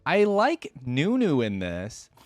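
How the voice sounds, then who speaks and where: A man's voice: fairly high pitch, wildly swooping pitch, slow pace, no room sound. A man giving a casual, upbeat reaction commentary, informal and thinking aloud as he goes. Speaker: reaction video host. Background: faint music.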